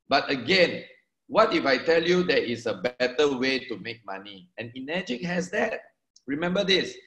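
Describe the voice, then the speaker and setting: A man in his forties talks to a seminar crowd, repeating his key words for emphasis as he draws points on a board. His voice sits a little high for a man. The sound is echoey, as in a meeting room. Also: a bit bright. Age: 40s. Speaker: network marketing speaker. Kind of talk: motivational seminar talk to a live audience.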